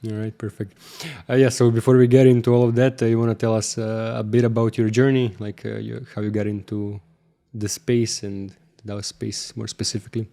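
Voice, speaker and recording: A man's voice: lowish voice, flat delivery, fairly quick, gravelly, warm tone. A man hosting a podcast, asking his guest questions in a loose, informal way with plenty of fillers. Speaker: podcast host. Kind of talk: casual podcast interview.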